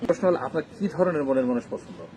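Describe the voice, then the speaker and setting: A man's voice: mid-range voice, big pitch swings, quick pace, dark tone, slight background noise, rough recording. A man with a Bangladeshi accent hosting a conversational interview, asking questions and addressing the viewers. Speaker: interviewer and host.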